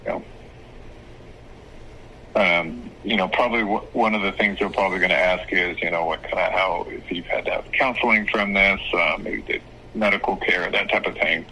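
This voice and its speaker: A man speaking as an attorney, giving advice on a phone call in a measured, hesitant, explanatory way. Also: deep voice, steady tone, bright, forceful tone. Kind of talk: phone consultation, casual advice. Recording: phone call with faint noise.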